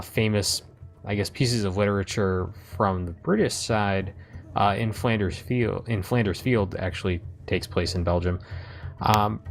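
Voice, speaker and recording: Male gameplay host, deep voice with heavy fry, casual and inquisitive. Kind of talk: casual gameplay commentary and interviewing.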